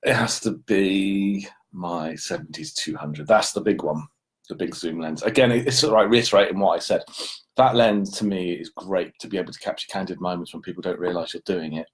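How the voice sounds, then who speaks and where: A man's voice: deep voice, brisk, slightly rough. A man co-hosting a casual, unscripted question-and-answer chat as a wedding photographer.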